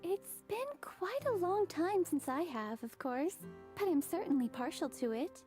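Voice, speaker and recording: An actress voicing a gentle, sweet, old-fashioned young woman in a visual novel game. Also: extremely high pitch, animated, a little slow. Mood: demure, pleased. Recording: music playing underneath.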